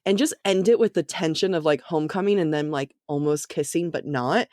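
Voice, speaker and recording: An American woman hosting a casual chat, talking like an excitable, gushing fan in very informal phrases. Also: sits low, fairly quick, no echo, clean studio sound. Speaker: podcast host.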